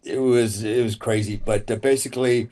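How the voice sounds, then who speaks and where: A man with a dark, mellow voice tells a story in casual chat, meandering and chuckling as he goes. He sounds easygoing and unhurried. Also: mid-range voice, steady tone. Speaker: guest.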